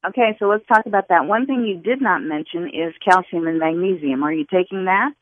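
A woman guest expert giving health advice to callers on a call-in radio show, explaining in a steady, instructive way. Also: on the low side, a little fast, dry recording. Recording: phone-line sound.

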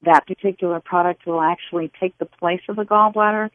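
A woman guest expert giving health advice to callers on a call-in radio show, explaining in a steady, instructive way. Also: on the low side, fairly quick, no echo. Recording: phone-line sound.

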